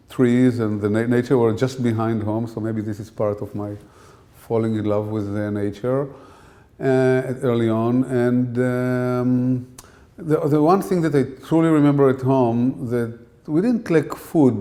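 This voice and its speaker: A man's voice: medium pitch, warm tone. A man in his sixties from Israel, with an Israeli accent, recalling his early life in a hesitant interview, with many fillers and restarts. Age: 60s. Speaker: interviewee, scientist. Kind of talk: reflective interview answers.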